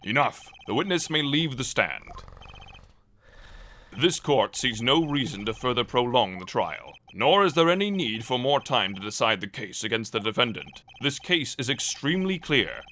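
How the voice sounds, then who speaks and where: A man's voice: mid-range voice, sing-song, normal speed, bright, forceful tone, a little hiss or hum. A man voicing a dignified, easily swayed elderly judge, formal and a little flustered, in an amateur game dub. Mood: solemn. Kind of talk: amateur video game fandub acting.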